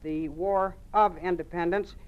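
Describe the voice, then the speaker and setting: A man's voice: high-pitched, fairly even intonation, measured pace, clean tone, highs cut off. An actor playing a hesitant male student reciting unsurely in a 1950s radio drama, on an old recording. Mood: nervous, reciting.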